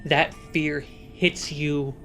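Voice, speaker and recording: Game master doing dramatic tabletop narration, takes it real slow, short suspenseful phrases, faint music behind. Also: medium pitch, little pitch movement, a bit bright.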